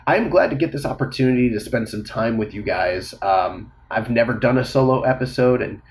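A man's voice: mid-range voice, brisk, slight background noise. Congested, slightly nasal male podcaster. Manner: rambling, casual, with frequent fillers. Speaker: podcast host. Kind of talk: solo podcast monologue.

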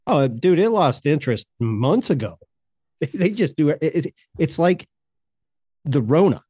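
A man's voice: on the high side, big pitch swings, measured pace, warm tone, breathy edge, dry recording, studio quality. A man co-hosting a podcast in casual chat, talking in a heated, sarcastic, profane way. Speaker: podcast co-host.

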